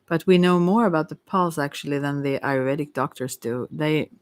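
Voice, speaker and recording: A tarot reader giving an informal ASMR reading in a hesitant, rambling way with many pauses. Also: medium pitch, smooth, no echo. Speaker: Ayurveda therapist.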